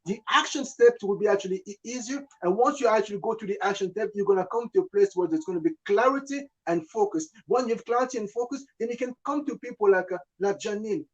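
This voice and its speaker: A man's voice: high voice, fast, hardly any pauses, dull audio. A man from the Congo, a business coach, talking animatedly and repeating words as he thinks aloud. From Democratic Republic of the Congo. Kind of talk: casual talk show discussion.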